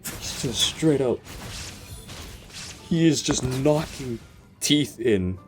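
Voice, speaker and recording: A man giving casual, informal reaction commentary while playing a game, expressive and full of muttered asides. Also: medium pitch, takes it slowly. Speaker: gaming content creator. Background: faint game music.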